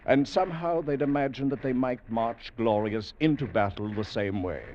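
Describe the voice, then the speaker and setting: A man narrating an old radio adventure drama in a measured, dramatic manner. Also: mid-range voice. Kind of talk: scripted narration, 1940s radio. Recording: dull old radio broadcast with faint hiss.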